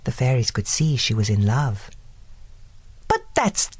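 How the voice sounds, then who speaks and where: A woman narrating a scripted children's bedtime story in an expressive storytelling manner. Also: a bass voice, normal speed, breathy edge, no echo.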